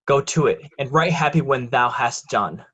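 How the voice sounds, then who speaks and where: A man acts as a calculating villain of noble birth in a stage tragedy. He speaks old verse in a measured, commanding way, cold and full of ambition. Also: mid-range voice, fairly bright tone, husky. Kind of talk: Shakespearean verse read in reader's theatre.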